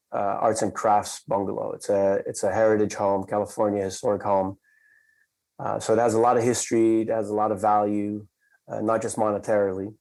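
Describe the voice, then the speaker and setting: A man from the Netherlands, a company cofounder, telling a story in a measured, reflective way and slowing down for emphasis. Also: fairly low pitch, darkish tone, rough recording. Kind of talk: conversational storytelling.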